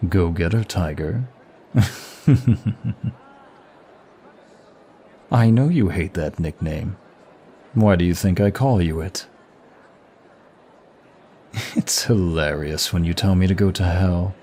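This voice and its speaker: A man's voice: sits low, slow pace, pauses often, a little mellow, slight background noise. A man playing a smug, seductive demon of lust in an intimate ASMR roleplay, with suave, teasing and formal flirtatious phrasing.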